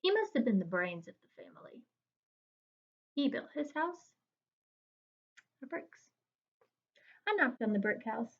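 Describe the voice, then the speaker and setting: A woman's voice: a little high, very animated, measured pace, gravelly, pauses often, slightly rough, muffled recording, full character voice. Woman reading as an aggrieved, chatty storybook wolf. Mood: mock-innocent. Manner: chatty, indignant, mock-innocent. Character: male wolf, aggrieved, folksy, self-excusing storyteller. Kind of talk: expressive children's picture-book read-aloud.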